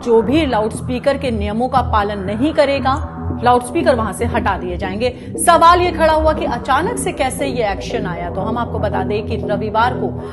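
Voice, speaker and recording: A woman's voice: on the high side, expressive, moderate pace. A woman presenting explanatory news to viewers in an emphatic way, often circling back over her points. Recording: music bed under the voice. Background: background music.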